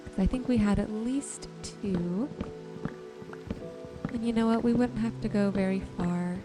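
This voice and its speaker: A woman giving relaxed ASMR-style gameplay commentary, gently thinking aloud without hurry. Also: mid-range voice, unhurried, music in the background. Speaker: gaming video host.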